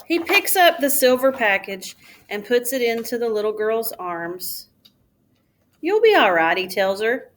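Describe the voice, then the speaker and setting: A woman reading a children's storybook aloud like a schoolteacher, in a careful, expressive storytelling manner. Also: on the high side, measured pace, slight background noise.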